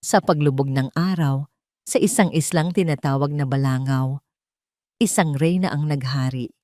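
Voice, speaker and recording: A woman's voice: low-pitched, a little mellow, no room sound, clean studio sound. Woman narrating a heartfelt drama. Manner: measured, heartfelt storytelling. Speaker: storyteller.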